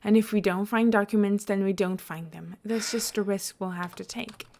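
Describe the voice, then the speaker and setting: A woman reading the part of a smooth, nonchalant man who leads a team in an audio story. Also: mid-range voice, steady tone, moderate pace, darkish tone, a little hiss or hum, lightly acted. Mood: nonchalant, persuasive. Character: smooth, nonchalant male leader, welcoming and persuasive.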